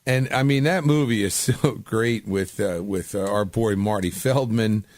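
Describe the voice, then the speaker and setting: A man's voice: fairly low pitch, measured pace, darkish tone, dry recording. A man hosting a casual radio interview, chatting in an informal, rambling way. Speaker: radio show host.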